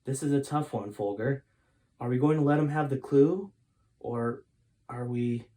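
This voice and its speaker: A man's voice: medium pitch, unhurried, dark tone, close to an everyday voice. A man voicing the hero's blunt, serious son in a storybook, with a casual way of talking.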